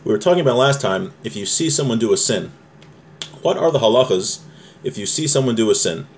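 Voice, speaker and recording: A man's voice: mid-range voice, lively intonation, a little fast, a little hiss or hum. Man teaching a religious law class. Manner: conversational, explains step by step with rhetorical questions. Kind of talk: informal text lesson, reading a source aloud and explaining it.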